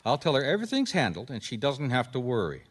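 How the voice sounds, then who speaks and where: Casting: hard-nosed detective, mid-pitched, highly animated delivery, impatient and sardonic, radio mystery drama. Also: male voice, quick pace, slightly rough. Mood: accusing, bossy. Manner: sarcastic, commanding, interrogating. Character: blunt, bossy, impatient male private detective.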